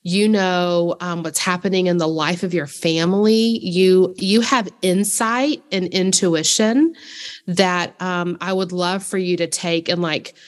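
Earnest woman co-host, lowish, reverberant. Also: steady tone, measured pace. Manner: earnest, thoughtful, many fillers. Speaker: podcast co-host. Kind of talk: podcast conversation.

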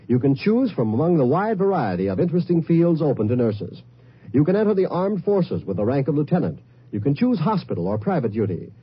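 Casting: American male announcer, higher-pitched, husky, scripted vintage radio appeal, muffled recording. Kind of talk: scripted 1950s radio public service announcement.

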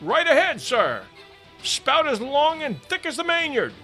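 A man voicing an excited whaling lookout who yells from the masthead in a dramatised storybook reading. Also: very high voice, measured pace, faint music behind, voice pushed up, full character voice. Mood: excited yelling. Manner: yelling, rising to a scream.

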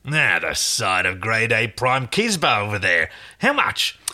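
A man's voice: fairly low pitch, huge pitch swings, unhurried, pushed and bright, exaggerated voice. A man voicing a brash, lewd alien saleswoman who haggles pushily and flirts with customers. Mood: brash, haggling. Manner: flirty, pushy, calls people honey.

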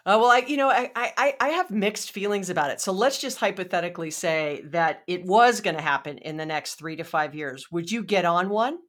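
Chatty woman podcast host, lowish voice. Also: lively intonation, brisk, hardly any pauses. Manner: chatty, many fillers and restarts. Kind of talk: podcast interview.

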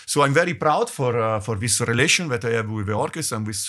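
A middle-aged Italian-accented man answers interview questions in careful, halting English. His voice sits at an ordinary pitch, and he often breaks off and restarts his phrases. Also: expressive, fairly bright tone. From Italy. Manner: halting, many restarts, laughs between phrases. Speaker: guest conductor.

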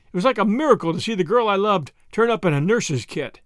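A man's voice: sits high, lively intonation, fast, a bit bright, no room sound, studio quality. A man voicing a hot-tempered, heartsick young Englishman in an audiobook, with a clipped, bitter, impassioned manner. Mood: anguished fury. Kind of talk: audiobook character voice.

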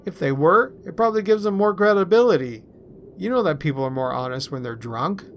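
A man's voice: on the high side, lively intonation, brisk, slight background noise, pitched above the performer's own voice. Man playing put-upon, good-humoured young boyfriend. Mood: sputtering, bantering.

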